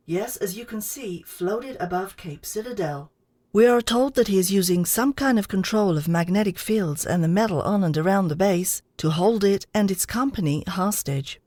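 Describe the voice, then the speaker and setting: A woman's voice: mid-range voice, expressive, normal speed, darkish tone, slightly rough, dry recording. An actress playing a serious female news reporter giving a formal, factual report in an audio drama.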